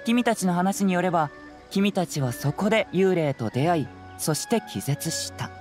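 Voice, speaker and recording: Calm, self-assured young detective. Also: male voice, sits high, moderate pace. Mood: composed. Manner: composed, casual, analytical, self-assured. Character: calm, clever, confident young male detective. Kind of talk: anime game voice acting. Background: music.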